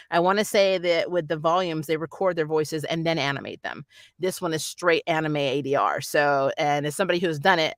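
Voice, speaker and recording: Bright-voiced woman chatting casually, dry close sound. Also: on the low side, no echo. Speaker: anime reviewer and vlog host. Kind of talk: casual, rambling review chat to viewers.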